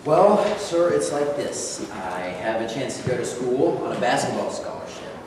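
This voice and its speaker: A man's voice: medium pitch, lively intonation, normal speed, noisy background, close to an everyday voice. A man reading the part of a young aspiring basketball player who speaks in an earnest, pleading way in a staged reading. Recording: echoey hall from far off.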